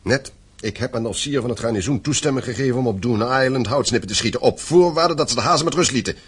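A man's voice: medium pitch, fast, husky, natural voice. An actor in a radio play as a stern, proud father and landowner, speaking with authority. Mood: stern, irritated. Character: stern, proud father and mine-owning gentleman.

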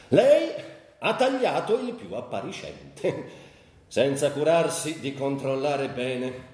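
An actor playing a bitter, crude grown son trapped by his mother's hold, in a sardonic, volatile radio monologue. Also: fairly high pitch. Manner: sardonic, vulgar, volatile delivery with sudden swells. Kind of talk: theatrical radio drama monologue. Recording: very echoey, distant, faint background noise.

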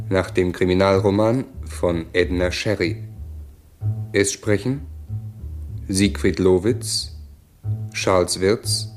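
A man's voice: deep voice, darkish tone. A man formally announcing the credits of a 1960s German radio play. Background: plucked guitar music.

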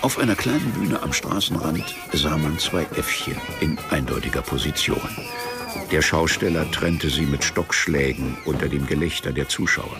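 A man's voice: a bass voice, expressive, normal speed, music in the background. A man reading scripted literary narration for a German radio drama.

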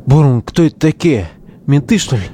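A man playing an excitable, cheeky young relic hunter in a wartime audio drama, slangy and agitated. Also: mid-range voice, moderate pace, slight background noise, close to an everyday voice. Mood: nervous, cheeky. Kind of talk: Russian audio drama acting.

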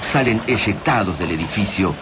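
A man's voice: on the low side, expressive, normal speed, noisy background. A man with an Argentine accent giving a dramatic narration for a radio drama.

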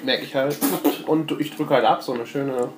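With faint noise in the background, a man of average pitch chats as a player in a tabletop game. He improvises his moves casually and haltingly, in everyday colloquial wording. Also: fairly even intonation, echoey room. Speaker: tabletop role-playing player.

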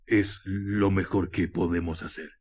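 A man with a Chilean accent playing a calm, grave village priest in a radio drama, slow, halting and paternal. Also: low voice. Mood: grave, advising.

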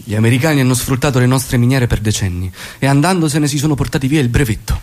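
An actor playing a resolute revolutionary doctor and loving husband in theatrical radio drama dialogue. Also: male voice, medium pitch, quick pace, darkish tone, lightly acted. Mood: determined.

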